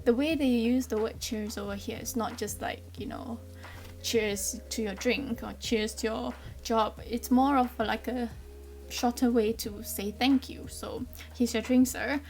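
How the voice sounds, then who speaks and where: Young Malaysian woman vlogger, brightish voice, chatty, lots of ums, soft music under her. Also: fairly high pitch, steady tone, measured pace. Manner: chatty, friendly, frequent ums. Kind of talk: casual explainer vlog.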